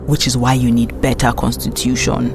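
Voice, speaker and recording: An actress portrays a cool, commanding woman operative in an audio drama. Her voice is full of gravelly creak and her delivery is curt and dry. Clear ambient noise surrounds her. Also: rumbling low, flat delivery, moderate pace. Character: stern, secretive female operative, cool and commanding. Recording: noisy ambient sound effects behind.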